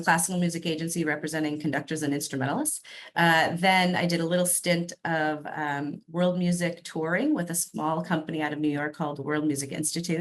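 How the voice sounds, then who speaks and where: A woman with a notably low voice for her sex, talking as an interview guest. She tells her career story in an upbeat, chatty way, with frequent ums along the way. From Massachusetts, USA. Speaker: executive director of a nonprofit, interview guest.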